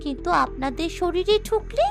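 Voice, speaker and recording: Brave young princess, very high girlish pitch, lively swings, earnest melodrama, music behind. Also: normal speed. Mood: persuasive. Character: brave young princess, tender daughter, resolute about punishing wrongdoers. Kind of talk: Bengali fairy-tale cartoon dubbing.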